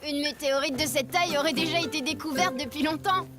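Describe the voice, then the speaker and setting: A woman voicing a logical, eager child explorer in a children's cartoon, reasoning things out brightly. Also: high-pitched, fast talker, over music, natural voice. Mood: reasonable. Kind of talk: dubbed children's cartoon acting.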